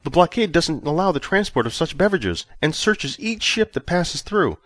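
Man acting a dutiful, higher-voiced ship's officer. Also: very animated, moderate pace, fairly bright tone, no echo. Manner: deferential, explanatory. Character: dutiful male ship's officer reporting to his commander. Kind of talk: audio story character acting.

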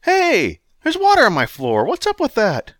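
A man's voice: mid-range voice, sing-song, a little fast, fairly bright tone, dry recording. A man reading the part of an impatient, sarcastic young man who wants to get ready for a date. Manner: dry, impatient.

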